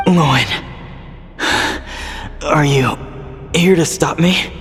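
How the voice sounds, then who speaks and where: An actor playing an embittered, weary experimenter in a video game, suspicious and wounded, speaking in a halting, dramatic way. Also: male voice, a little high, a bit bright. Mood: shaken, suspicious. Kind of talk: video game character acting. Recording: long reverb with music behind.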